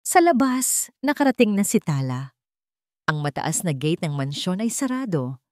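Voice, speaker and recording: A woman's voice: on the low side, sing-song, moderate pace, a little mellow, no echo, clean studio sound. A woman narrating a romantic melodrama as a scripted, dramatic story.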